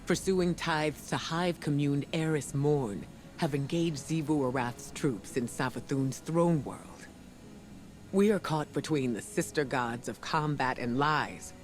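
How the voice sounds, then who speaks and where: An actor playing a weary, dutiful middle-aged military commander in a video game, speaking in a grave, measured, troubled way. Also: male voice, mid-range voice, lightly acted. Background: music.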